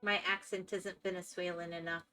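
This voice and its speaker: Female streamer with a Florida accent, talkative, bright voice. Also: on the low side, flat delivery, normal speed, faint music behind. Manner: chatty, reacting to viewer chat. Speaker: live streamer. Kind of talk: casual chat while gaming.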